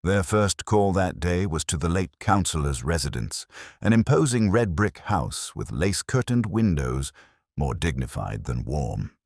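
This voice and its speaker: A man narrating a scripted mystery story in a measured, literary manner. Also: sits low, big pitch swings, darkish tone, no echo, studio quality.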